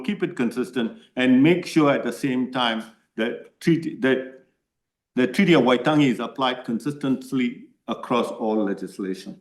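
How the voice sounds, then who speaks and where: Earnest man, echoey room. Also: mid-range voice. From Malaysia. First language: Tamil. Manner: earnest, repeatedly asks "right?". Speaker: submitter to a parliamentary committee. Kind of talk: answering committee questions.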